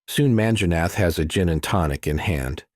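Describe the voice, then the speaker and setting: A man with a low voice reads a thriller as an audiobook narrator. The delivery is scripted storytelling, recorded very dry. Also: animated, normal speed, no echo.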